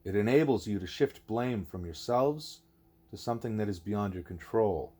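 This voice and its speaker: A man voicing a wise, patient male teacher in an audiobook, speaking in a deliberate, formal, explanatory way. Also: on the low side, expressive, a little mellow, husky. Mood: grave, explanatory. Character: wise, patient teacher creature Ishmael, male, measured and didactic.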